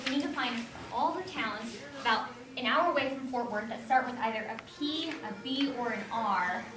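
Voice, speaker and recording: A woman's voice: on the high side, normal speed, music in the background, natural voice. A woman acting an earnest adopted woman in her twenties searching for her birth mother in an amateur stage play. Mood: eager. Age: 20s. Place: stage of a one-act play. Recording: echoey stage, distant mic, noisy.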